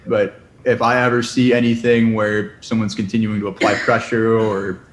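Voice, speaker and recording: A police officer in his late twenties talks plainly and directly in a lowish voice. His words carry a noticeable room echo. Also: little pitch movement, normal speed. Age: 20s. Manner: direct, matter-of-fact. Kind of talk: interview testimony. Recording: roomy echo.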